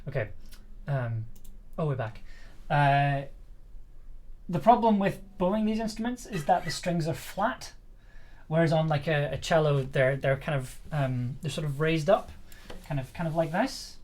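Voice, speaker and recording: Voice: medium pitch, expressive, unhurried, a little mellow, slight background noise. A livestream host chatting casually while demonstrating, with a hesitant, self-deprecating manner. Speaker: livestream host, audio drama producer and musician.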